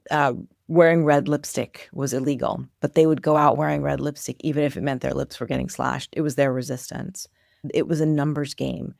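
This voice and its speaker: A woman in her forties, born of Iranian heritage, telling stories as a podcast guest in a lively, filler-filled way. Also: sits low, creaky, dry recording, clean studio sound. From Iran. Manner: animated storyteller, many "like" and "you know" fillers. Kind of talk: casual interview storytelling.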